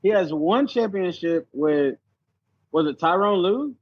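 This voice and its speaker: A man's voice: high voice, lively intonation, a little slow, dull audio, slight background noise. A man as a podcast guest in a casual sports debate, arguing his points with teasing emphasis.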